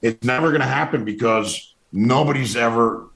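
A man with a loud, forceful way of talking, speaking in a casual interview with frequent restarts and fillers. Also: medium pitch, moderate pace, vocal fry, echoey room, rough recording. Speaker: political commentator.

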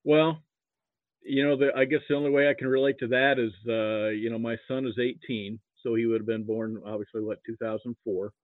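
A middle-aged American man with a Midwestern accent, chatting casually as an interview guest in a halting, hesitant way. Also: medium pitch, steady tone, dry recording. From rural Nebraska, United States. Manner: halting, hesitant delivery with repeated "you know". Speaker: guest, sports fan and archivist. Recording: muffled, narrow phone-like line.

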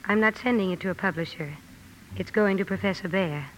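A woman's voice: medium pitch, a little fast, slight background noise, natural voice, low-quality recording. An actress playing a sincere, passionate young woman writer in a 1950s radio drama, earnest and emotional. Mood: quiet, confiding. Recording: old radio broadcast with music.

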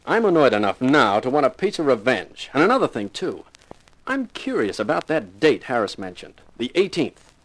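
Hard-boiled male private eye, wry and tough-talking, lively inflection, 1950s radio thriller. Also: medium pitch, normal speed, poor audio. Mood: determined. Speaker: lead actor and narrator. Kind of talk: 1950s radio detective drama, first-person narration and dialogue.

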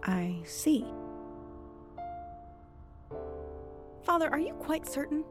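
Sardonic female soothsayer, period audio drama, highish pitch, articulate, needling, clear background music. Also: big pitch swings, moderate pace. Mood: probing, sly. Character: shrewd, sardonic, insistent woman soothsayer of Puritan times.

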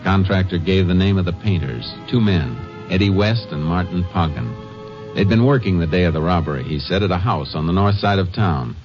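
An actor playing a stern, shrewd Texas Ranger who also narrates, clipped and dry, with an American accent in an old-time radio detective drama. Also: male voice, low voice, normal speed, natural voice. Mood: dry, matter-of-fact. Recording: muffled old radio recording with music.

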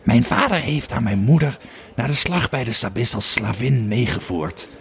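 A man playing a grumpy, weary old Roman legionary who tells his story slowly and gruffly in an audio drama. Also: medium pitch, animated, pitched above the performer's own voice, close to an everyday voice. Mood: solemn reminiscing. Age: older.

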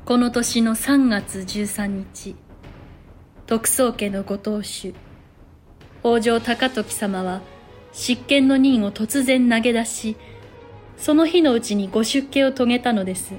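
A woman's voice: on the high side, takes it slowly, darkish tone, faint music behind. A woman voicing a courteous noblewoman who narrates a historical audio drama in polite, formal storytelling. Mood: composed.

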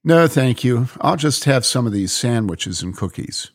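A man voicing a calm, understated young traveler. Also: medium pitch, a little slow. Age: young adult.

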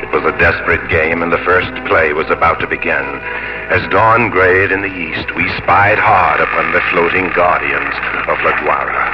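A man acting a resolute sea captain of Elizabethan England, low and stately. He is grave and bent on revenge, in the old radio drama manner. The broadcast sounds muffled, with music playing behind. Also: steady tone, normal speed, lightly acted. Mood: stately narrating. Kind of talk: 1950s radio drama acting, first-person narration.

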